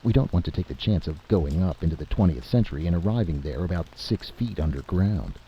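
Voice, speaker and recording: A man's voice: sits low, expressive, a little fast, lo-fi sound, a little hiss or hum. A man voicing an earnest, resourceful male inventor in a time travel story, practical and plainspoken.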